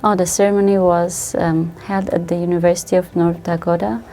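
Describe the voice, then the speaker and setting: A woman from Armenia, a former teacher, calmly telling her story of becoming a citizen. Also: fairly low pitch, brisk, a little hiss or hum. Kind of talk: personal story interview.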